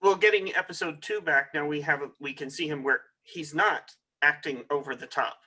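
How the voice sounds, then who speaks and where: A man invited from the audience lays out his view at a measured, explanatory pace. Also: on the high side, pushed and bright. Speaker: audience member invited to speak. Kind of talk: casual panel discussion.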